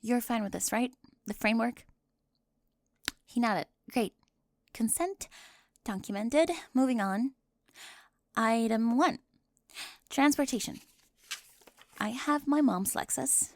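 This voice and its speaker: Woman playing a prim, high-voiced controlling teen girl. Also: slow, pauses often, no echo, studio quality. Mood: brisk, defensive. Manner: fussy, officious, over-precise, flustered. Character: prim, controlling, hyper-organized popular teen girl planning prom. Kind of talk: scripted ASMR audio roleplay.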